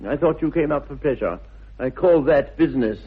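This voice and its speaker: An actor playing a witty, self-indulgent young Victorian gentleman with an upper-class English accent, arch and languid, in 1960s British radio comedy style. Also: fairly high pitch, brisk, breathy edge, narrow, tinny audio. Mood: arch, opinionated. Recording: thin, band-limited old radio recording.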